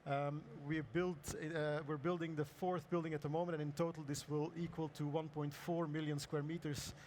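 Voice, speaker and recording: A man with a hoarse voice presenting his architecture practice's projects at a conference, halting and clearing his throat often. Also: a little high, flat delivery, darkish tone, a little hiss or hum. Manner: hesitant, many ums and uhs, clears throat. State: hoarse, lost voice. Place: conference hall.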